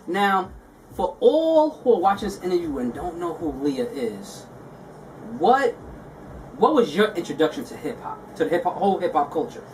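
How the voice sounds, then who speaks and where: A man's voice: high voice, big pitch swings, moderate pace, distant mic, a little hiss or hum, rough recording. A man from Brooklyn, hosting a casual interview in a playful, teasing way.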